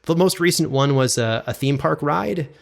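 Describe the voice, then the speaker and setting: A man's voice: a little high, expressive, normal speed, gravelly. Man in casual interview talk. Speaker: guest, audio drama producer and sound designer.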